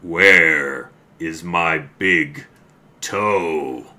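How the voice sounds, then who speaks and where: A man voicing a menacing, groaning monster in a folktale, drawing its words out slowly over some background noise. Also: rumbling low, bright, forceful tone, exaggerated voice. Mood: eerie groaning. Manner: drawn-out, menacing delivery. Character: groaning monster hunting its severed big toe, slow and menacing.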